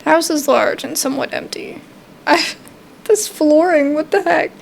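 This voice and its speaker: A woman's voice: high voice, unhurried, creaky, a little hiss or hum. A woman doing a casual playthrough of a game, reading its narration aloud and reacting. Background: game music.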